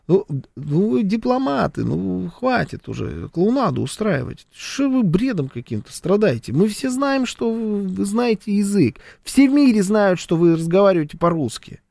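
Casting: male commentator, somewhat high voice, lively and sarcastic, dry close sound. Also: moderate pace, creaky, no room sound. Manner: animated, sarcastic, talks with hesitations. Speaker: commentator reading viewer comments. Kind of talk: casual political commentary.

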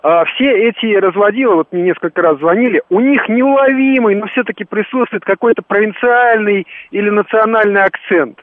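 A man's voice: sits high, normal speed, hardly any pauses, dry recording. A man calling in by phone, talking in a playful, slightly rambling way. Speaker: caller. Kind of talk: phone-in chat. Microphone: telephone. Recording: tinny phone line.

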